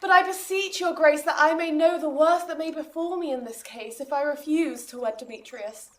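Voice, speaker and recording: An actress playing a defiant young maiden in a Shakespearean audio drama, speaking earnestly and formally. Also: female voice, high voice, normal speed, natural voice. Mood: earnest pleading. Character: defiant young maiden pleading for her love. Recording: distant from the microphone.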